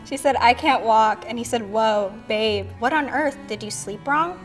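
A woman's voice: fairly high pitch, normal speed, soft background music. A woman in her twenties, reading her brother's messages aloud with wry remarks. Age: 20s. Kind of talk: casual reality-show commentary.

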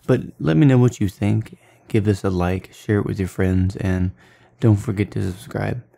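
Guy late 20s rambling about his day, fillers everywhere, low-ish warm voice. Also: moderate pace, gravelly, reverberant. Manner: meandering, many fillers and restarts. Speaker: art vlogger. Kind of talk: casual rambling vlog; voice-over while drawing. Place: small apartment kitchen, indoors. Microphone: handheld camera at arm's length.

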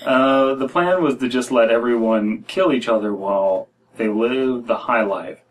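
An American man with a mid-pitched voice reads stories aloud in a loose, casual way. He often stumbles and wanders into asides. He sounds a little way back from the microphone in a room. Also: a little slow. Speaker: podcast host reading stories aloud. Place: small room at home, indoors. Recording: distant mic in a room.

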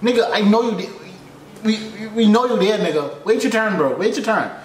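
A man's voice: high voice, fairly quick. A young man giving casual reaction commentary and stories in an exasperated, slangy, repetitive way. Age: young adult. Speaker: reaction video host, air traffic controller. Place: small furnished room indoors. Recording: roomy echo, faint background noise.

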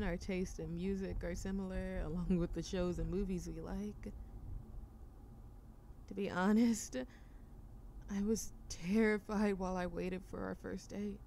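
A woman's voice: fairly low pitch, warm tone. A woman plays a shy, anxious young girlfriend in an intimate audio roleplay. She is affectionate but overthinks, stammering and hesitating as she speaks. Faint ambient noise sits behind her. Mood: tender.

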